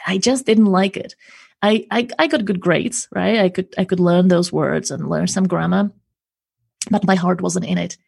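A woman's voice: lowish voice, vocal fry, a little mellow, slightly rough. A woman working as a language coach and interpreter, answering interview questions in an earnest, explanatory way, often ending points with a tag question.

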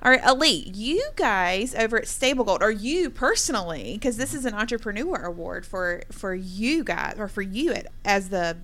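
A woman's voice: mid-range voice, lively intonation, normal speed, hardly any pauses, a bit bright. A woman hosting a conversational interview with award winners, speaking in an encouraging, affirming way. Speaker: interview host.

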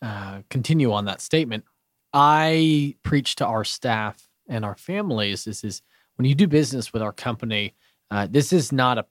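A man from Bulgaria speaking as a radio guest in a studio, earnest and halting with many restarts. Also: medium pitch, lively intonation, dry recording. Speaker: radio show guest, business owner. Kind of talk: casual radio interview.

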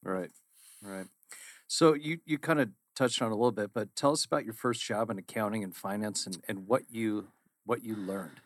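A man's voice: lowish voice, normal speed, no echo, clean studio sound. A man hosting an interview, asking his guest curious, prompting questions. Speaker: interview host.

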